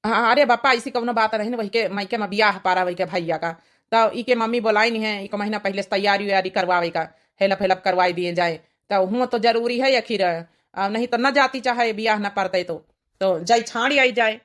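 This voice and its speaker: A woman's voice: medium pitch, brisk, a bit bright, lightly acted. A woman voicing a young henpecked village husband in a cartoon comedy, placating and explaining in an Awadhi accent. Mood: pleading.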